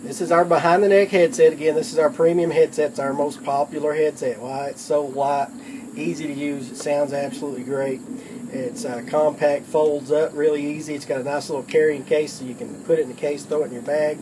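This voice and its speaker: A man's voice: a little high, fairly even intonation, brisk, background noise. A man giving a casual how-to demonstration in a folksy, plain-spoken way, like a product demonstrator explaining things step by step.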